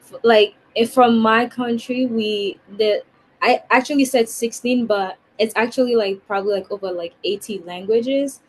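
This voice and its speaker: A young woman, speaking as a student in an online class discussion. She talks casually and keeps filling her sentences with "like". It sounds like a relaxed video call contribution. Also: fairly high pitch, moderate pace, slight background noise, rough recording. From Ghana. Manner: chatty, with many "like" fillers.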